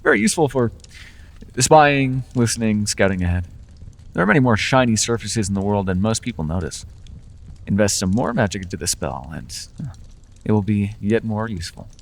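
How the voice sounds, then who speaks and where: A man's voice: lowish voice, big pitch swings, normal speed, creaky, long reverb tail. A man voicing a charming, persuasive male Fae who makes deals, with playful, coaxing salesman patter in an ASMR roleplay.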